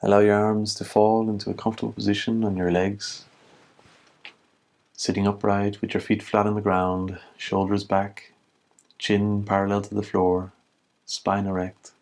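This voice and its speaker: A man giving guided meditation instruction as a teacher, in measured short phrases with long pauses. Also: on the low side, completely flat delivery, a little mellow.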